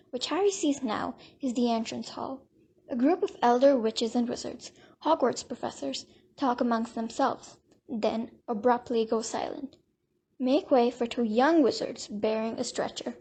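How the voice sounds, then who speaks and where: A woman's voice: a little high, normal speed, mellow, husky. A woman narrating an audio drama from a script in a dramatic storytelling style.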